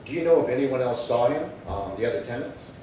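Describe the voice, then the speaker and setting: Actor as a businesslike male detective, mid-pitched, clipped, polite, matter-of-fact, radio crime play, very echoey room. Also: lively intonation, quick pace, dark tone, natural voice. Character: terse, courteous, businesslike male police detective. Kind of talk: 1950s radio crime drama acting. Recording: very echoey, distant, faint noise.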